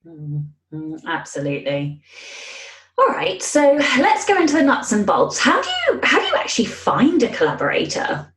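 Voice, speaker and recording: An echoey room surrounds this woman's voice. She hosts a conversational interview, her mid-pitched voice swooping widely up and down as she talks. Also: huge pitch swings, normal speed, a bit bright. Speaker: podcast interviewer.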